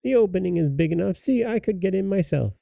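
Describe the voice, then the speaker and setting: Guy doing a mean old fairytale witch, pushed up high, soft dark tone, bossy and jeering. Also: animated, quick pace, gravelly, dry recording, exaggerated voice. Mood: scolding, scheming. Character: wicked old witch, falsely sweet, scolding and menacing.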